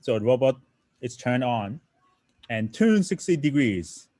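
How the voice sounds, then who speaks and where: A man's voice: mid-range voice, sing-song, slow, dark tone, no echo, clean studio sound. A young man from Japan, a native Japanese speaker with a Japanese accent, explaining programming in an online lesson, often checking in with "right?" and fillers. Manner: explanatory, many "right?" tag questions and fillers. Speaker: programming instructor.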